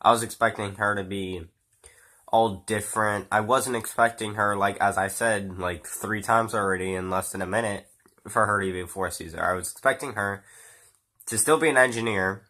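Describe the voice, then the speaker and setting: A man's voice: lowish voice, fairly even intonation, moderate pace, bright, forceful tone. A man with a stuffy nose from a cold, giving a rambling, casual spoken film review with fillers and the odd swear. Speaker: movie reviewer.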